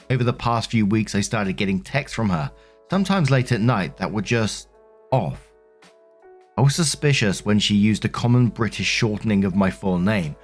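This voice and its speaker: A man hosting a story show, reading accounts aloud with conversational commentary and talking directly to listeners. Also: on the low side. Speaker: host narrating Reddit stories. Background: background music.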